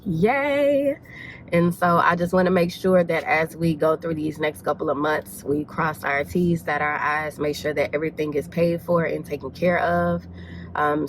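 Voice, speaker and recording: A woman's voice: sits low, background noise. A woman in her twenties with a stuffy, congested voice, chatting casually to her vlog audience. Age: 20s. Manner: chatty, rambling, says y'all. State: congested with a cold. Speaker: vlogger. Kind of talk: casual vlog talk to camera. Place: in a car on the way to errands.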